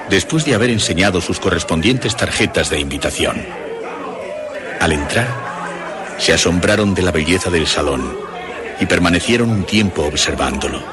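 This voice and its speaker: A man narrating a scripted horror story for radio in a measured, dramatic storytelling manner. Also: sits low.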